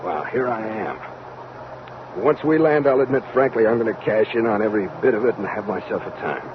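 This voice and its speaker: An American actor playing a cocky, optimistic sixty-year-old Army major in a vintage radio drama, breezy and plain-spoken. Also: male voice, animated, brisk, close to an everyday voice. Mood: wistful, frank. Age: 60s. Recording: muffled vintage radio recording, loud hiss, rumble.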